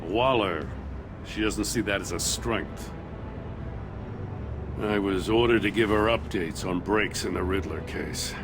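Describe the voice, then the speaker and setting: An actor playing a weary, principled older police chief in a video game, with a gruff, world-weary conversational manner. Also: male voice, low-pitched, unhurried, long pauses, noisy background. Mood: frustrated, resolute. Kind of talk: dramatic video game acting.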